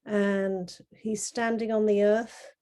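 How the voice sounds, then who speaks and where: A middle-aged woman from Kenya talking informally as an artist presenting their work on a video call, explaining in a measured, earnest way. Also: medium pitch, little pitch movement, dark tone. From grew up in Kenya. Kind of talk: informal talk presenting artwork to an online group.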